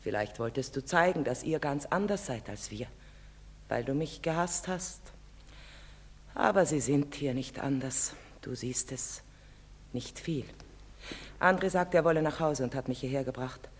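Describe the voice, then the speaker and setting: A proud foreign mother, bitter and accusing. She declaims deliberately in classic stage drama style. The voice rings with the long reverb of a theatre. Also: a bass voice, breathy edge, full character voice. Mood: pained reproach. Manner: deliberate, declamatory, insistent questioning.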